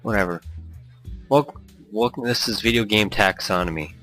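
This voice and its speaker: A man's voice: lowish voice, moderate pace, pushed and bright. A man hosting a gaming let's-play in a casual, rambling, self-deprecating chat, reading game text aloud. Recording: music behind the voice. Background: background music.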